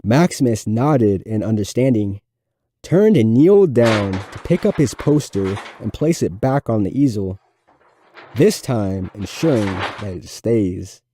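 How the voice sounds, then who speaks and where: A man's voice: on the low side, expressive, measured pace, dark tone, a little hiss or hum. A man reading a scripted story as its narrator. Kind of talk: scripted audiobook-style narration.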